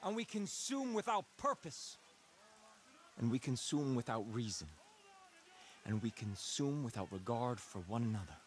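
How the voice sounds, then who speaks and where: An actor playing an intense, messianic cult leader in a video game, delivering a dramatic sermon with deliberate, repetitive rhetoric. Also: male voice, medium pitch, very animated, a little hiss or hum, close to an everyday voice. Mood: impassioned, scornful. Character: intense messianic male cult leader preaching to his flock.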